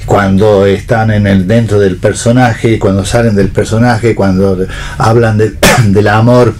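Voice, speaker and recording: Man, low voice, hesitant unscripted discussion, drawn-out fillers, faint noise behind. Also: little pitch movement, a little mellow.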